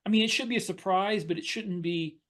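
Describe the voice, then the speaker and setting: A man explaining and telling stories casually as a podcast co-host, halting at times as he works out his points. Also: sits high, fairly bright tone. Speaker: podcast co-host and fiction author. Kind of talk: casual storytelling and discussion.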